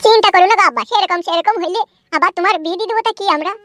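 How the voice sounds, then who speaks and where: A woman's voice: very high voice, very fast, a bit bright, no echo. A woman playing a young village daughter in a comic cartoon, plain-spoken and insistent as she consoles and scolds. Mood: consoling.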